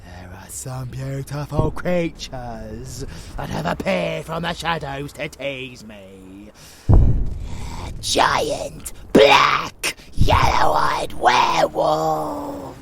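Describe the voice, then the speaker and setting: A man playing a sadistic, gloating vampire monster in an audio roleplay, with a gravelly, menacing, foul-mouthed delivery that savors every word. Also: mid-range voice, fairly bright tone, a little hiss or hum, full character voice. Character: sadistic, gloating male vampire monster who relishes slaughter.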